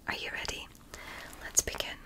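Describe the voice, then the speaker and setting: A woman whispering as a gentle alien woman doctor in an ASMR roleplay, softly praising and reassuring her patient. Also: close to an everyday voice, poor audio. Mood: soothing. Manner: soft, slow, praising and reassuring.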